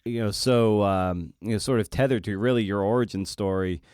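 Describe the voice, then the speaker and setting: A man hosting a podcast interview, casually feeling his way through questions with halting, restarted phrasing. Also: fairly low pitch, darkish tone, clean tone, no echo, clean studio sound. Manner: halting, searching phrasing with repeated starts.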